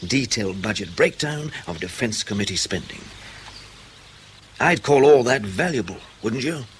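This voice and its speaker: Radio play: male actor as British spymaster debriefing an agent, mid-range voice, dry, wry, persistent, measured. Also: mid-range voice, expressive, lightly acted. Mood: measured, pressing. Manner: pressing, measured questioning with dry understatement. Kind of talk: naturalistic radio drama acting. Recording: clear ambient noise behind the voice.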